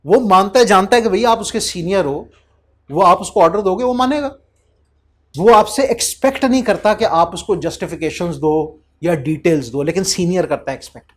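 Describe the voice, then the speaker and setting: A young man lectures an accountancy class in a high voice. He calls his students children and slips into acted-out back-and-forth dialogues to make his points. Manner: addresses students as children, acts out quoted dialogues. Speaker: accountancy teacher.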